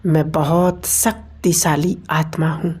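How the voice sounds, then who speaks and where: A woman leading a guided meditation in deliberate phrases with pauses between them. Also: sits low, fairly even intonation, darkish tone, slightly rough. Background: meditation music.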